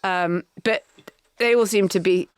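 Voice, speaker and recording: A middle-aged woman with an English accent, co-hosting a podcast, speaking in an animated, wry way with halting restarts. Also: mid-range voice, clean tone, dry recording, clean studio sound. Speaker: podcast co-host. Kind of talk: casual chat.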